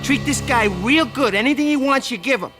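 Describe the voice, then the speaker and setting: An actor playing a bossy, menacing gangster in a crime video game, blunt and slangy. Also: male voice, extremely high pitch, fairly quick, music in the background. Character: bossy, menacing male gangland underling giving orders.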